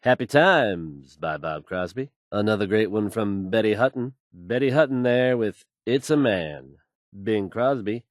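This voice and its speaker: A self-assured radio DJ for a video game. His voice sits fairly low and he announces in smooth, easygoing, fluent patter. The sound is very dry and free of room echo. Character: confident, smooth male radio DJ.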